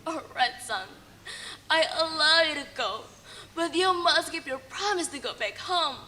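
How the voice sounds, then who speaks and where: A tearful, pleading old mother from a folk tale, played by a woman storyteller. Her very high voice is soft and slow, and it trembles as she begs. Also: lively intonation, full character voice. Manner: tearful, begging.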